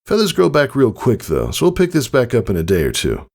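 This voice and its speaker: A man voicing an injured pegasus father who is stoic and gently reassuring in a storybook reading. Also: mid-range voice, huge pitch swings, a little fast, gravelly, voice pushed up, full character voice. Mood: calm, fatherly. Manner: gently reassuring, downplaying pain. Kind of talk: fan fiction audiobook reading.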